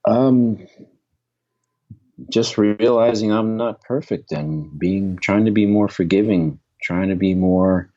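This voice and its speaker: A man in his fifties, a guest musician telling candid stories in an interview, reflective and quick to laugh, often trailing off and restarting. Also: fairly low pitch, dark tone, dull audio. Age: 50s.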